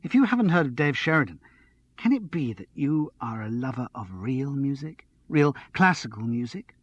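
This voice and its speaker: A man reading an audiobook, voicing an excitable, slightly tipsy young woman who chatters on without pause. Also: mid-range voice, no echo, highs cut off, pitched above the performer's own voice. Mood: giddy. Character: giddy, tipsy young woman studio manager.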